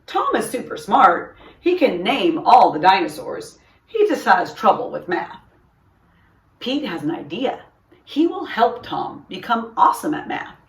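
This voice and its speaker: Woman, storytime reader, expressive, unhurried, echoey room, distant mic. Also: medium pitch. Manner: expressive, deliberate, puts on character dialogue. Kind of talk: children's picture book read-aloud. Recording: roomy echo, distant microphone.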